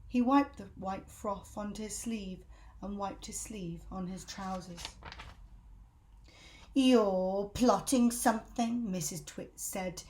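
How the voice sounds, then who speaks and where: A woman reading a children's story aloud as a storyteller, with dramatic, emphatic delivery. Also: medium pitch.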